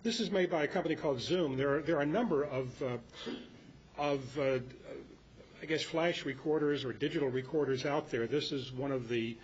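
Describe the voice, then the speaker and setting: A man's voice: moderate pace, husky. An American man leading an informal club talk, rambling and anecdotal as he presents to the group. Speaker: meeting presenter. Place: club meeting room. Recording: dull lo-fi meeting recording with rumbly noise.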